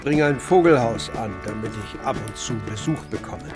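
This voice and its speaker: A man playing a kindly older pigeon keeper in a children's radio play, gravelly and patient as he explains things. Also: medium pitch, animated, moderate pace, music in the background, close to an everyday voice. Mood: friendly, conspiratorial.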